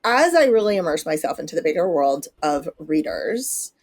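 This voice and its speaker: A woman's voice: low voice, very animated, normal speed, a little mellow. A woman in her thirties hosting a podcast, chatting casually, laughing often and swearing now and then. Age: 30s. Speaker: podcast host. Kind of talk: casual chat.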